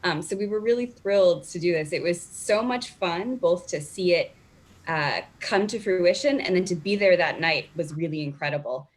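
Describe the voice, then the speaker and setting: A woman speaks in a slightly low register, informal and talkative. She sounds like a guest in a relaxed interview, recorded over a video call from home. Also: moderate pace. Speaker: library staff member, interview guest. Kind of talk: casual chat.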